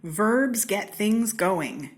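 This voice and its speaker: An American woman from Seattle reading a children's storybook aloud in an expressive, theatrical way, with deliberate, playful emphasis. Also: medium pitch, a little hiss or hum. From Seattle, United States. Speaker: storybook reader.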